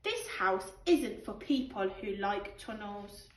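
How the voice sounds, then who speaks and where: A woman voicing a bossy, possessive little boy in a picture-book read-aloud, loud, emphatic and defiant. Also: mid-range voice, animated, slow, noisy background. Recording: roomy, distant microphone.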